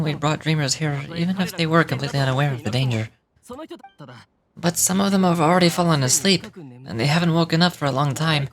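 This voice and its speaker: A woman's voice: sits low, pitched below the performer's own voice, lightly acted. A woman voicing worried, apologetic townsfolk, reading game dialogue in a hesitant, plaintive way. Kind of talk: dramatic read-aloud of game dialogue.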